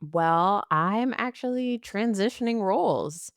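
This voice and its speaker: A woman's voice: medium pitch, expressive, slow pace, dry recording, studio quality. A young adult woman co-hosting a casual podcast, playful and sarcastic, laughing often. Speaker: podcast co-host, speech-language pathologist. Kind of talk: casual chat.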